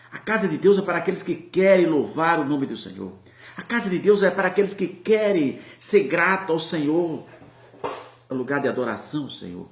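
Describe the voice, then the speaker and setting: A man's voice: on the high side, roomy sound. A man with a Brazilian Portuguese accent preaching a sermon with impassioned, rising and falling delivery.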